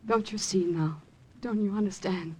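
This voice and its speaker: An actress playing an earnest, idealistic young sweetheart in an old radio drama, pleading in a breathy voice. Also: female voice, medium pitch, normal speed, warm tone, a little hiss or hum, natural voice. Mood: pleading, urgent. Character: earnest, idealistic woman, gambler's loyal sweetheart. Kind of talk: 1950s radio drama acting.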